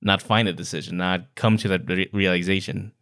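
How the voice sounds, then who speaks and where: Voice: low voice, level tone, fairly quick, a bit bright, clear, resonant tone, no echo. Casual podcast co-host, very dry sound. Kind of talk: casual book discussion.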